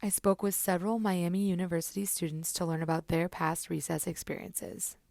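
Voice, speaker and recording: Gravelly woman narrating a report. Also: lowish voice, level tone, a little mellow, slight background noise. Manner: measured, informative. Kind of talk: scripted narration.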